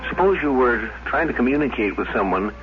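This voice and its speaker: A man's voice: medium pitch, lively intonation, fairly quick, noisy background. A man hosting a vintage radio anthology, giving a conversational scripted introduction. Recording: muffled vintage radio with loud music.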